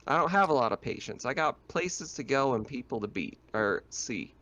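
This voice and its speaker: A man's voice: medium pitch, lively intonation, normal speed, bright, forceful tone. A man voicing an impatient, menacing thug in a tabletop game, curt and threatening. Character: impatient, menacing thug demanding his money.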